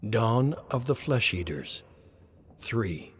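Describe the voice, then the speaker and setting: A man's voice: mid-range voice, sing-song, slow pace, a little hiss or hum. A man reading a scripted horror story as its narrator.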